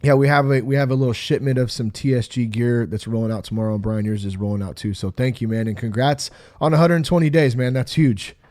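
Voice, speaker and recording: A man's voice: medium pitch, steady tone, fast talker, hardly any pauses, a little mellow, no echo. Casting: stuffy-nosed man in his thirties, easygoing podcast host, dry close sound. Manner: laid-back, rambling with fillers. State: congested, sick. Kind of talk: casual podcast chat.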